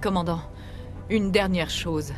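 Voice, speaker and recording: A woman's voice: on the low side, measured pace, music in the background, natural voice. Actress as a worried, pleading middle-aged mother. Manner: pleading, earnest. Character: worried, pleading mother of a missing grown daughter. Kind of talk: French video game dub.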